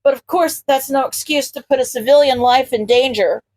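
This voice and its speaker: A woman's voice: high voice, normal speed, darkish tone. A woman voicing an easily flustered, apologetic man in a story, earnest and given to exclaiming and cursing.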